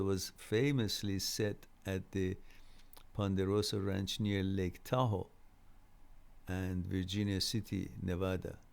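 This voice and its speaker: A middle-aged man, a native speaker of Farsi, hosts a live Q&A in an informal lecturing style. His voice sits low and has a marked gravelly fry. He explains things haltingly, pausing as he goes. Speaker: host of a live mental health Q&A. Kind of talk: informal live lecture, answering viewer messages.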